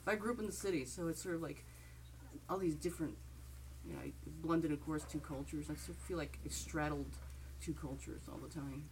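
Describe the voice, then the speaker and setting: A woman's voice: sits low, moderate pace, long pauses, dark tone, slight background noise, rough recording. A woman from Southern California speaking candidly in a group discussion, laughing easily. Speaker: participant. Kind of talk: personal sharing in a group.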